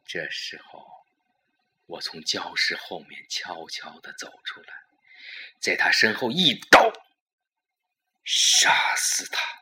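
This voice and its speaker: A man playing a scheming, excited lover who lays out a murder plan in a commanding, emphatic way for an audio drama. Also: deep voice, slow pace, long pauses, exaggerated voice. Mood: conspiratorial, intense.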